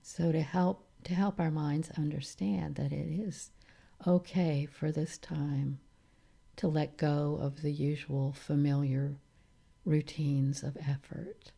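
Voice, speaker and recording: A woman leading a guided meditation in a calm, soothing manner, leaving long pauses between phrases. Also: deep voice, creaky. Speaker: meditation teacher.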